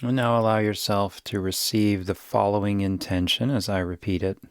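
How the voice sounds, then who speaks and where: Male meditation guide: gravelly, soothing, unhurried delivery, very dry sound. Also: lowish voice, steady tone, no room sound. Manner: soothing, unhurried, gentle instruction. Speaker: yoga nidra guide.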